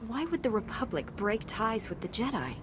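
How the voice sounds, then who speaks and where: A woman playing a calm, dutiful Jedi Knight in a video game, measured and earnest in delivery. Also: on the high side, background noise. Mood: cautious, dutiful.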